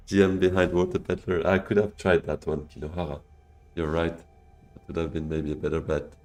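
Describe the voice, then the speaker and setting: A man's voice: sits low, level tone, moderate pace, darkish tone. A Swiss man, streaming a board game live and thinking his moves through aloud to himself and his chat. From Switzerland. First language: French.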